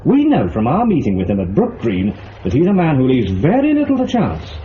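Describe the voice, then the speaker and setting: A man's voice: medium pitch, huge pitch swings, a little fast. A man acting a fussy forensic scientist in a spy radio drama, pedantic, testy and emphatic. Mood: insistent. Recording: muffled lo-fi audio, faint noise.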